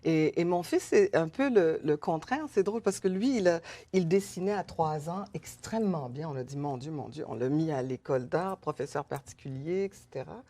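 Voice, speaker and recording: A middle-aged woman from Canada talks through her life as an interview guest. She is thoughtful and unhurried, with frequent fillers and soft laughs between her thoughts. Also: low-pitched, expressive. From Canada, Haitian-born. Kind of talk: conversational interview.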